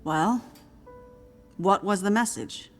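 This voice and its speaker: A woman playing a guarded older woman scientist in a video game, speaking in measured, formal, precise phrases. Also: medium pitch. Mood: suspicious. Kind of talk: video game cutscene acting. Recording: faint music behind the voice.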